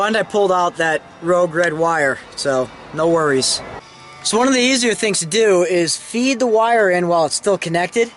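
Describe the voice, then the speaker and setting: High-voiced man, casual DIY tutorial. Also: a bit bright. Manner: informal, self-deprecating, mildly salty slang. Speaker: host of a DIY car how-to video. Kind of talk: casual hands-on tutorial narration. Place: in and around a vehicle while working on it.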